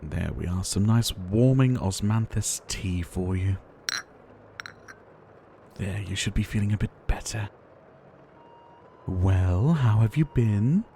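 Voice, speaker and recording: A man playing a gentle, ailing pharmacist doctor in an ASMR roleplay, soft-spoken and theatrical, with courteous, wistful phrasing and sighs. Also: lowish voice, lively intonation, slow, vocal fry, long pauses, a little hiss or hum, exaggerated voice. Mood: warm, welcoming.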